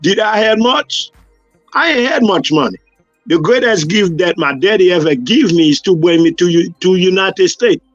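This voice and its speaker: A man's voice: high voice, expressive, normal speed, no room sound, lo-fi sound, soft background music. A man from Haiti with a Haitian accent, hosting a talk show and speaking earnestly about love. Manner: earnest and preachy. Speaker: host. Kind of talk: casual chat.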